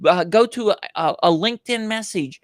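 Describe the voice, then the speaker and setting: A man with a high voice for a man, telling stories as an interview guest with emphatic, animated delivery and frequent restarts. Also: moderate pace, no room sound, clean studio sound. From United States. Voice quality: higher-pitched male voice. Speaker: podcast guest. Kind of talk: enthusiastic interview storytelling.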